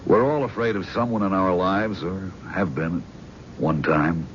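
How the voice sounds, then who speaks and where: An actor playing a calm, probing male psychiatrist in a 1970s radio drama. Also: low voice, measured pace. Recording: dull old radio broadcast with faint hiss.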